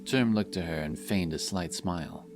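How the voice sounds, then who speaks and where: A man reading a scripted fantasy story as narrator, in a dramatic, solemn and measured style. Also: low voice. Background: music.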